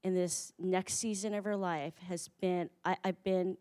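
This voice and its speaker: A woman's voice: on the low side, fairly even intonation, measured pace, dark tone, smooth. A woman host giving a heartfelt, faith-centered introduction of the next speaker, with frequent fillers. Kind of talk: warm spoken introduction.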